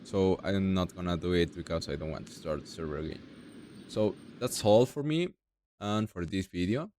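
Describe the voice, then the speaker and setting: A young man from Mexico with a Mexican Spanish accent, speaking halting non-native English as he walks through a software tutorial step by step. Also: sits low, expressive, a little hiss or hum. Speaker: tutorial creator. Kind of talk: screen-recorded software tutorial.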